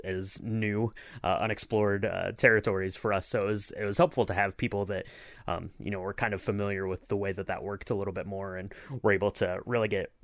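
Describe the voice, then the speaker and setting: Low-voiced man chatting casually, dry sound. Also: no room sound. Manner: rambling, hedging with kind of and you know. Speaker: podcast host and sound designer. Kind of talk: casual behind-the-scenes chat.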